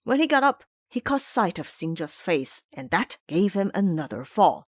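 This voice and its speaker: A woman's voice: on the low side, very animated, normal speed, dry recording, clean studio sound. A woman narrating an audio drama in a scripted, dramatic storytelling style.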